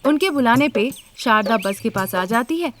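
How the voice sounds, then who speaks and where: A woman's voice: a little high, expressive, normal speed, music in the background. A woman reading scripted story narration as a narrator.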